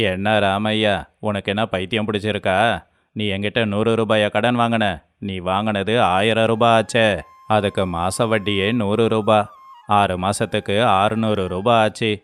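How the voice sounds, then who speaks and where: A greedy, cunning moneylender from a village moral tale , acted by a man. The voice is low and cleanly resonant. He talks down to people with a sneering, domineering manner. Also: fairly even intonation, a little slow, a bit bright, clear, resonant tone, soft background music. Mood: mocking, accusing. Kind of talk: dramatized moral story voice acting.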